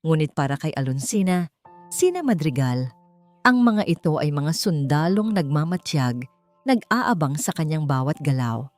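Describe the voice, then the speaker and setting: Woman narrator, lively storytelling voice, low-pitched, faint music underneath. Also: moderate pace, dry recording. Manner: expressive, rises in pitch for dramatic moments. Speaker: audiobook narrator.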